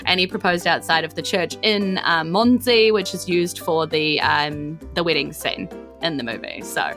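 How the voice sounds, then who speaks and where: A woman's voice: sits low, lively intonation, normal speed, a bit bright, soft background music. A woman chatting informally and giggly as a podcast host. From New Zealand. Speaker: podcast host and game master. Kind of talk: casual podcast chat.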